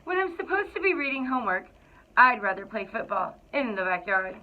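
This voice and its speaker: A woman reading a children's picture book aloud to school students in an expressive, deliberate storytelling way. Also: a little high. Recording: thin, phone-like narrow-band sound.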